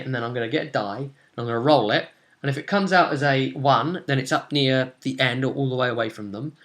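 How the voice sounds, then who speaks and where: A young man talks casually to the camera as a game master. He tells the story of a tabletop session with dramatic emphasis, rambling along as he goes. His pitch is average for a man. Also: a bit bright. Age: young adult. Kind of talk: animated casual recap of a tabletop role-play session. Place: small room at home, indoors.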